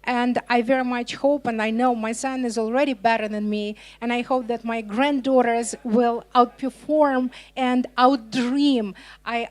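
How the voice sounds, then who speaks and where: A woman's voice: fairly high pitch, darkish tone. A woman in her sixties speaking in a hopeful, heartfelt way on a panel.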